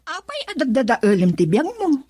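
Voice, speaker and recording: A woman's voice: high voice, sing-song, normal speed, darkish tone, a little hiss or hum. A woman playing a frank, reproachful female friend in radio drama dialogue, with an Ilocano accent. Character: woman scolding her best friend's wavering boyfriend.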